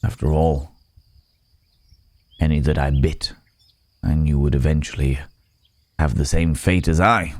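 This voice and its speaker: A man playing a weary, remorseful werewolf in human form, speaking haltingly and gravely in an intimate ASMR roleplay. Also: a bass voice, fairly even intonation, a little mellow, dry recording. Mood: grave, haunted.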